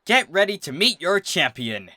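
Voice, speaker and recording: A man voicing a huge, proud father bull who leads his herd, indignant and booming in a storybook reading. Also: big pitch swings, moderate pace, bright, forceful tone, dry recording, studio quality, full character voice. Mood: proclaiming. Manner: booming, blustering.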